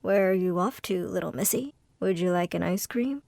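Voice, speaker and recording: Woman voicing creepy man, coaxing, low, slow, hushed, storytime. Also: creaky, no echo, studio quality. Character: creepy bearded man luring a child.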